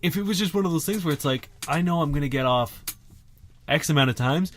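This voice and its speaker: A man's voice: a little high, soft background music. A man co-hosting a podcast in casual chat, candid and swearing freely, talking over his co-host. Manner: candid, swears freely, quick overlapping banter.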